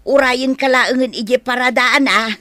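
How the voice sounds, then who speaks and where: Comic drama: woman playing evasive, flustered village woman, Ilocano accent, sharp bright voice, hesitant delivery. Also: high-pitched, fairly even intonation, unhurried, dry recording. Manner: hesitant, full of filler interjections. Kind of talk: comedic radio-style drama acting.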